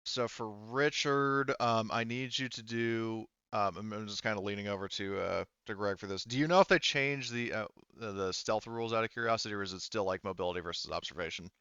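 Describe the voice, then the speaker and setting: A man running a tabletop game as game master, talking casually and haltingly as he thinks aloud. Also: lowish voice, bright, forceful tone, smooth, no echo, muffled recording.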